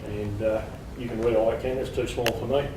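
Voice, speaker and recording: A man's voice: on the low side, steady tone, fairly quick. A man introducing a guest speaker at a club meeting, hesitant and full of fillers. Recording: roomy hall with crowd.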